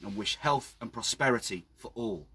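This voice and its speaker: A man reading his own story dramatically outdoors, with measured, hushed and suspenseful delivery. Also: medium pitch, breathy edge. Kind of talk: dramatic scripted story narration. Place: outdoors by a town well.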